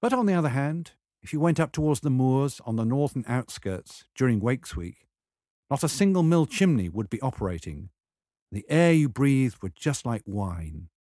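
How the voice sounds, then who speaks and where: Male memoir narrator, wry and measured, with animated intonation and a dry studio-like sound. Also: medium pitch, no room sound. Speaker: memoir reader.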